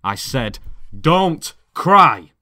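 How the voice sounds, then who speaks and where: A man's voice: fairly high pitch, big pitch swings, drawn-out words, bright, forceful tone, no room sound, studio quality, voice pushed up, heavily acted. A man playing a volatile, possessive boyfriend who pleads and menaces in a dramatic script reading. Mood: angry.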